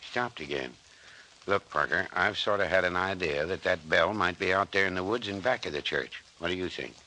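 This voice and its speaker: An actor playing a folksy older small-town doctor, bossy and reassuring, with rural turns of phrase in old-time radio drama style. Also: male voice, sits low, moderate pace, pushed and bright. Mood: puzzled. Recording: noisy vintage radio recording.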